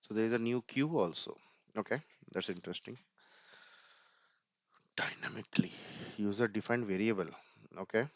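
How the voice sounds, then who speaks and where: A man's voice: lowish voice, normal speed, long pauses, no echo, highs cut off. A man giving an informal screen-share tech walkthrough, thinking aloud hesitantly and often repeating words. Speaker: tutorial presenter.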